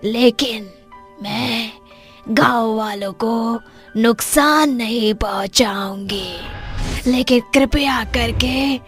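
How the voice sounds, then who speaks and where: Woman acting a slow-spoken, sorrowful witch ghost. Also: fairly high pitch, soft background music. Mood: pleading, tearful. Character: sorrowful vengeful female witch ghost, a wronged mother. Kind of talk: dramatised Hindi horror story acting.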